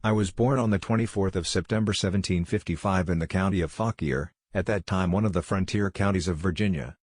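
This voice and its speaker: Man, deep voice, formal scripted narration, measured delivery, dry studio-like sound. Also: dry recording. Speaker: quote narrator. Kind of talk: scripted narration, reading quotations.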